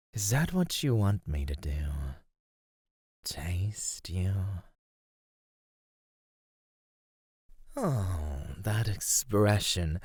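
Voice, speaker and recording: A man playing an ancient male serpent-like monster in an ASMR roleplay, patient, menacing and teasing, with deliberate, ornate speech. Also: deep voice, darkish tone, no echo, clean studio sound, heavily acted. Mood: amused, predatory. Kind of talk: ASMR roleplay, scripted acting.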